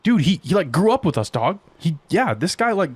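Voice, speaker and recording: A man's voice: sits high, big pitch swings, brisk, husky, no room sound, voice pushed up, full character voice. Guy acting as a hyped up young fantasy villager, slangy, insistent, bit bewildered, close dry sound. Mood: excited. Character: Lucas, excitable young villager, trusting and bewildered.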